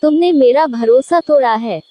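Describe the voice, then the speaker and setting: A sly, treacherous vixen of a storybook fable, played by a woman narrator. Her voice is high and her manner calculating and self-serving. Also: moderate pace, dark tone, a little hiss or hum, close to an everyday voice. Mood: aggrieved. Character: cunning, treacherous female fox.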